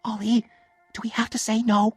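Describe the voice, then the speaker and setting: A man's voice: sits high, flat delivery, moderate pace, slightly rough, dry recording, faint music behind, voice pushed up. A man voicing a young wife in an audiobook story, hurt and exasperated, pleading with emphatic emotion. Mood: urgent pleading.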